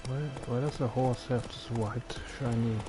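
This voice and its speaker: A man's voice: medium pitch, little pitch movement, moderate pace. A man giving casual video game commentary, hesitant and thinking aloud as he plays. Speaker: gamer hosting a playthrough. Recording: loud game music behind voice.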